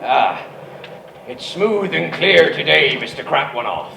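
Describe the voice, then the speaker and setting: Man playing a creaky, high-voiced theatrical ship's captain. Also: very animated, heavily acted. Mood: jovial. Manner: theatrical, drawn-out delivery. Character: blustering old-time steamship captain with a smuggler's streak. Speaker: actor in live radio comedy. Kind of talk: parody radio theatre acting. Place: arts centre stage before live audience. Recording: distant, echoey live theatre stage, noisy.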